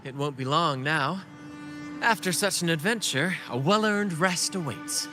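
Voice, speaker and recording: An actor playing a well-spoken young man who leads a travelling troupe in a video game, speaking in a measured, theatrical, articulate way. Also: fairly high pitch, natural voice. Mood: warm resolve. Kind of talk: scripted video game story dialogue. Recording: game music behind the voice. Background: music.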